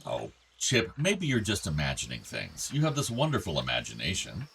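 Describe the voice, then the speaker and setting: A man's voice: sits low, huge pitch swings, normal speed, gravelly, a bit bright, slightly rough, full character voice. A man acting a meek, regretful older father in a naturalistic radio play, apologetic and hesitant. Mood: uneasy, gentle. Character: regretful, meek father of two grown sons.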